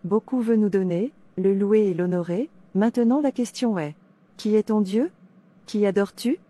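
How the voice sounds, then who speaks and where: Woman acting devout female preacher, cartoon narration, mellow tone, mid pitch, solemn, didactic. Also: normal speed, natural voice. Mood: solemn, teaching. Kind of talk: scripted religious narration and cartoon dialogue.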